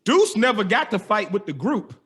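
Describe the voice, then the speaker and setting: High-voiced man telling a story. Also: normal speed, breathy edge. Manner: animated, laughing, slangy storytelling. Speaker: podcast host telling a story. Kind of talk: casual storytelling chat.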